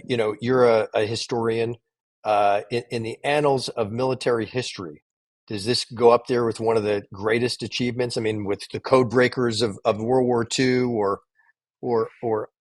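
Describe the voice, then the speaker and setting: A man's voice: medium pitch, poor audio. A man hosting a podcast, putting questions in a conversational political interview style. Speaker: podcast host.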